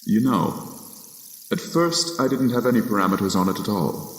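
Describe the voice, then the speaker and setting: A young man acting in a radio drama as a courteous, wry official of death, speaking in measured, polite phrases with droll pauses. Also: fairly low pitch, lively intonation, reverberant, long reverb tail, natural voice. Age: young adult.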